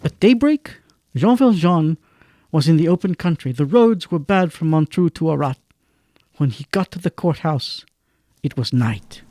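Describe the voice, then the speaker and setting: An actor as a solemn storyteller, giving dramatic literary narration in an audio drama with deliberate phrasing. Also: male voice, on the high side, animated, dark tone. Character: solemn male storyteller of a literary radio play.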